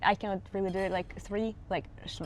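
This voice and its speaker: A woman's voice: medium pitch, steady tone, fairly quick, slight background noise. A woman with a Russian accent and a mid-range voice teaches English pronunciation. She explains patiently, models each sound herself and works through examples step by step. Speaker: accent coach.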